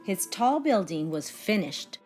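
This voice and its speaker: Woman, children's story reader, highly expressive intonation, unhurried pace, faint music behind. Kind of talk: children's read-aloud narration.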